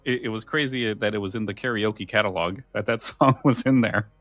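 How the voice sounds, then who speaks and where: A man's voice: lowish voice, fairly quick, fairly bright tone, dry recording. Man chatting casually in a dry sound. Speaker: podcast co-host. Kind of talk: casual chat.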